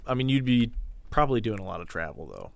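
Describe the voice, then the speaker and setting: A man's voice: fairly low pitch, huge pitch swings, fast, vocal fry. A young man co-hosting a podcast. He chats casually, swears a lot, and trails off. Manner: laid-back, swears often, trails off. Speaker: podcast co-host. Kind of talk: casual chat.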